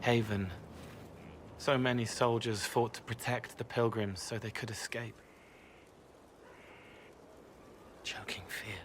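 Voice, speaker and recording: A man voices a haunted spirit in young man's shape for a fantasy game. He speaks slowly, in gentle, broken murmurs that drift between thoughts. The pitch is medium and faint ambient noise sits behind him. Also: steady tone, natural voice. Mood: anguished. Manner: halting, fragmented, murmured phrases. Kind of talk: video game character acting.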